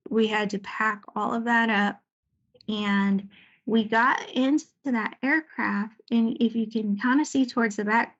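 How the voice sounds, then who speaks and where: A woman giving an informal slide presentation about her service as a Navy air traffic controller, talking conversationally with searching pauses. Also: mid-range voice, dull audio. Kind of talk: informal slide presentation recounting deployment stories.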